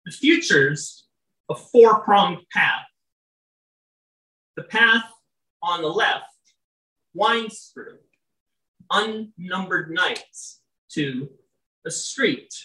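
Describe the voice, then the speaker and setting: A poet with a loud voice reading their own work at a live venue, chatty and wry between poems and slow and measured when reading. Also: medium pitch, lively intonation. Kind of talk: live poetry reading with casual asides. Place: indoor venue with live audience. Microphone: stage microphone, often off-mic. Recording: roomy, distant live-venue sound.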